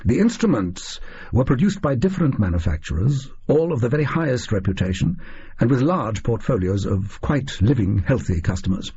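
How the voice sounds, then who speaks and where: A man voicing a conceited, theatrical Victorian detective in an audiobook, with smug, formal, deliberate diction. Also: medium pitch, lively intonation, warm tone, husky, lo-fi sound. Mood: grave, pompous.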